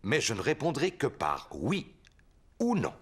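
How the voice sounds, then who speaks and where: A man's voice: mid-range voice, wildly swooping pitch, measured pace, fairly bright tone, husky, heavily acted. A man voicing a jovial, playful father pig in a French children's cartoon dub, theatrical and patient, with music underneath. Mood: playful, teasing.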